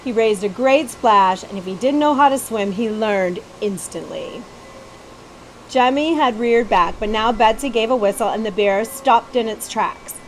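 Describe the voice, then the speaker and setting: A woman narrates a children's book in a slightly high voice. Her delivery is expressive, with the odd stumble, and there is faint noise behind her. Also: unhurried, poor audio. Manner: animated storytelling with occasional stumbles and asides. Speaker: librarian reading a children's book aloud.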